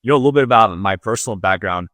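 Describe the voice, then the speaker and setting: A Latvian-born man with a plain American accent, a guest wealth planner talking easily in a podcast interview and often pausing on "uh". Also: fairly low pitch, a bit bright, no room sound. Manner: easygoing, many "uh" fillers.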